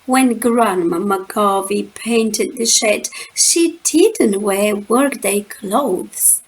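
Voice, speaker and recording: A woman reading a rhyming children's story aloud, expressively and rhythmically, with dramatic pauses and drawn-out words. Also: mid-range voice, poor audio.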